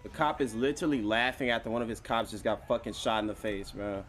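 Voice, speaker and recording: A man's voice: on the low side, quick pace, fairly bright tone. A man streaming a role-play game, talking casually in heavy slang with plenty of swearing. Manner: profane, slangy.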